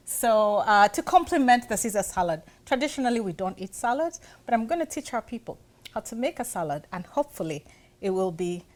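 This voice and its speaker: A woman's voice: medium pitch, animated, a little fast. A woman from western Uganda, hosting a casual cooking-show chat and eagerly asking lots of short questions. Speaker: host.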